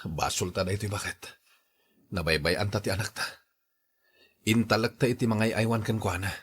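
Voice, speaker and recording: A man's voice: fairly low pitch, steady tone, moderate pace, a bit bright, breathy edge. A man with a Filipino accent playing an earnest young husband and father in a radio drama. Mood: regretful, blaming.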